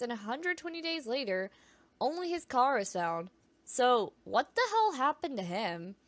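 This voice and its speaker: A woman's voice: on the high side, dry recording. A woman reading scripted creepy true stories in an informal way, stumbling over words now and then with self-conscious asides. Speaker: video narrator.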